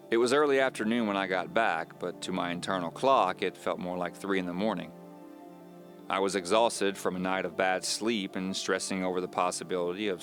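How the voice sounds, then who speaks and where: A man playing an uneasy man recounting his own mystery in measured, confiding, scripted audio drama narration. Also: low-pitched, fairly bright tone. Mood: weary, confiding.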